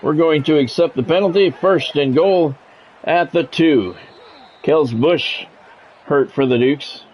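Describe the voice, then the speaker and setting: A man's voice: fairly high pitch, takes it slowly, darkish tone, a little hiss or hum. An American man doing play-by-play commentary on a football game in short, clipped announcer phrases. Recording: dull lo-fi sound, music behind.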